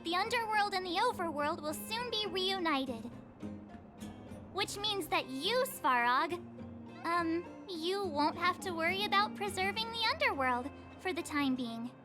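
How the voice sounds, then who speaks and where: A woman's voice: very high voice, lively intonation, a little slow. A woman playing a perky, outspoken teenage girl adventurer in a video game, breezy and emphatic. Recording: game music under the voice.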